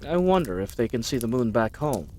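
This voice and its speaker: A man's voice: medium pitch, big pitch swings, fairly quick, darkish tone, slight background noise, close to an everyday voice. A man playing a friendly, bumbling knight in a fantasy audio roleplay, chatty and rambling, with awkward, flustered hesitations. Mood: musing, gentle. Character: friendly bumbling babbling young-hearted male knight, eager and awkward.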